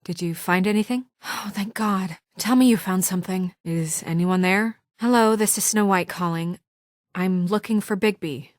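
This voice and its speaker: Woman voicing a no-nonsense fairy tale office boss lady for a game, urgent, businesslike, medium pitch, really dry recording. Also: moderate pace, dry recording, lightly acted. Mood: anxious, hurried. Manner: businesslike, urgent, matter-of-fact. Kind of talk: naturalistic video game voice acting.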